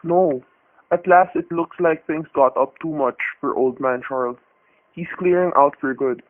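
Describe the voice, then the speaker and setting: A dull, slightly noisy radio-play recording carries a fairly high male voice. A man acts out a quick-witted husband under threat, obedient on the surface, telling his ordeal in tense, hard-boiled thriller style. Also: lightly acted. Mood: feigned casual. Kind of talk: radio thriller acting and first-person narration.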